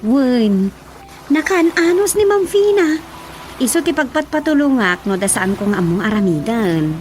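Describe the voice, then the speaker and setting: High, animated Ilocano woman. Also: a little slow. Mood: thoughtful. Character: eager, respectful daughter leading a village women's group. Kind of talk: radio drama acting. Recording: radio drama with music behind.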